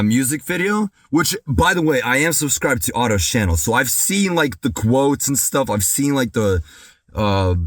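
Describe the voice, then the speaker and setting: Excitable man recovering from a cold. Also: medium pitch, dry recording. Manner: excitable, says dude and bro a lot. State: recovering from sickness, clears throat. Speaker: music reaction YouTuber. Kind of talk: excited reaction commentary.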